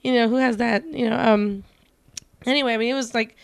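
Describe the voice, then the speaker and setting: Brief: young female co-host, rambling and filler-heavy, slightly high voice, dry close sound. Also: fairly even intonation, brisk, gravelly, clear, resonant tone, no room sound. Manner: rambling, many "like"s and restarts. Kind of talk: casual chat.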